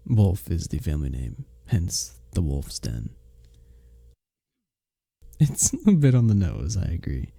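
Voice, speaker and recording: A man acting as a kind innkeeper from a medieval fantasy village, in an intimate ASMR audio drama. His voice is low and husky, with a gravelly edge. He speaks in gentle, formal, storybook phrases with a hesitant tenderness. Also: dry recording, full character voice. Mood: amused, modest.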